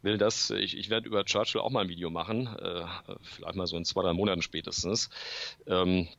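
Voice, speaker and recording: A man with a cold, answering interview questions about his book in a halting way, clearing his throat, with many fillers and restarts. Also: low-pitched, steady tone, a bit bright, muffled recording. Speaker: author guest. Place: room full of books at home.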